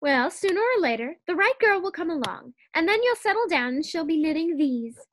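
Woman acting 1940s radio comedy wife, scatterbrained, cheerful, very high voice, lively intonation. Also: a little fast, a little hiss or hum, full character voice. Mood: playful. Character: scatterbrained, scheming, cheerful young housewife Liz.

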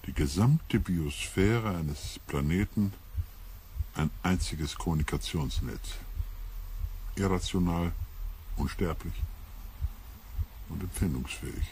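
Grave scientist in a radio play, really low voice, measured, slight background noise. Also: male voice, a little mellow. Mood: grave wonder. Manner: measured, analytical. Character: sober scientist on a deep-space expedition, uneasy. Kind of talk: radio drama acting. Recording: faint ambient noise.

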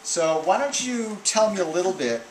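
A man hosting a cooking class, chatting casually inside a farm stand and pausing on many "uh" fillers. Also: high-pitched, lively intonation. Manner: friendly, halting, many "uh" fillers. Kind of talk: casual interview on a farm visit. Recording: echoey room with loud background noise.

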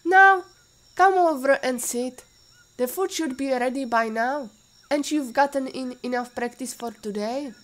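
High-voiced Russian warrior mentor played by an actress. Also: female voice, unhurried, a bit bright. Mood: warm, teasing. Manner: instructive, formal phrasing. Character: patient female warrior mentor, former Interpol agent, caring teacher. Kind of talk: audio drama acting.

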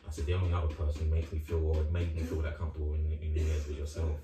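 A young man hosting an interview in a living room, chatting in a relaxed, rambling, encouraging way. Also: a bass voice, rapid-fire, over music. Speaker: host. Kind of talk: casual interview chat. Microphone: far camera. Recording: echoey room, far from mic, audible background ambience.

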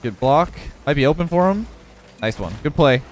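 A young man with a congested voice giving relaxed running commentary on his own video game match. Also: a little high, normal speed, slight background noise. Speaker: gaming video creator. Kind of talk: live gameplay commentary.

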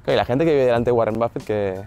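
A man's voice: medium pitch, fast talker, warm tone, soft background music. A young man with an accent from Spain, chatting casually as a vlog host outdoors. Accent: Spanish from Spain. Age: young adult. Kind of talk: casual vlog narration and chat. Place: outdoors on residential and city streets.

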